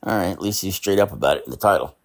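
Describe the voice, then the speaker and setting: A man casually commenting on video titles he reads aloud, with exasperated, drawn-out asides. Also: deep voice, lively intonation. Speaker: vlogger.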